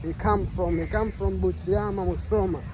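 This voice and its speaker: High-voiced Tanzanian man, eager and halting. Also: steady tone, background noise. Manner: eager, repeats words, halting. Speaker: local guest explaining history. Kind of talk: casual chat.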